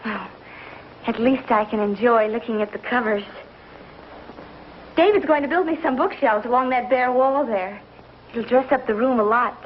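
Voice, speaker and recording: A woman's voice: a little high, normal speed, pauses often, slight background noise, close to an everyday voice. An actress playing a refined, sensitive young frontier wife and mother in a 1950s television western. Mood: earnest, fond. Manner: refined, ladylike. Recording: muffled 1950s TV soundtrack, music behind.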